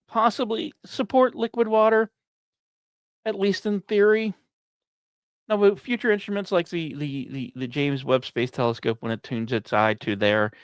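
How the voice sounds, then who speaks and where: A man hosting a science podcast, reading scripted narration with casual asides and musing, dramatic pauses between short phrases. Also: medium pitch, no room sound. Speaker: podcast host.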